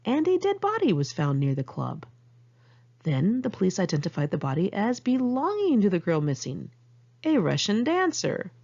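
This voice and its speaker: A woman's voice: mid-range voice, huge pitch swings, normal speed, warm tone. A woman narrating her own memoir in a candid, conversational way, like an amateur audiobook read in one take. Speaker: author reading own memoir. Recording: muffled lo-fi amateur recording.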